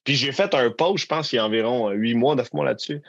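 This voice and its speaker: A man's voice: medium pitch, fast, creaky, no room sound, dull audio. A man in his thirties with a Québécois accent, co-hosting a business podcast in a candid, emphatic, slangy way. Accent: Québécois French. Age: 30s. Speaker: podcast co-host. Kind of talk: casual business chat.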